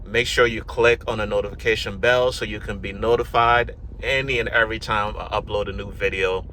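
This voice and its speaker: A middle-aged man from Ghana, telling his life story to camera in a relaxed, rambling way, full of fillers and restarts. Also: lowish voice, fairly quick, slight background noise. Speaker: YouTube vlogger. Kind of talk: casual personal storytelling to camera.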